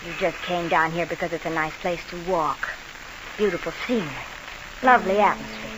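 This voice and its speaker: A woman's voice: on the low side, a little fast, natural voice. An actress playing a mysterious, sardonic woman in an old radio mystery, sly, knowing and needling in her delivery. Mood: mocking. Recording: noisy, hissy old radio broadcast.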